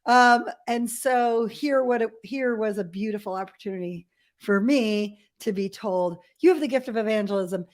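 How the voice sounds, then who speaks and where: A middle-aged woman from Ethiopia, telling personal stories as an interview guest in a candid, animated way with self-deprecating humour. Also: a little high, normal speed. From grew up in Ethiopia as a missionary kid. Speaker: podcast guest. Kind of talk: conversational personal storytelling.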